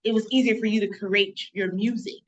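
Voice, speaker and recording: A woman's voice: mid-range voice, steady tone, brisk, slight background noise, low-quality recording. A woman hosting an interview show, introducing her guest and praising him with enthusiasm. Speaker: host.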